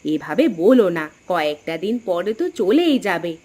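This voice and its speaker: A woman voicing a gentle, weary middle-aged housewife and mother-in-law in a cartoon, soft-hearted and placating. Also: fairly low pitch, big pitch swings, moderate pace. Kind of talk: Bengali cartoon dialogue. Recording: music under the voice. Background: music.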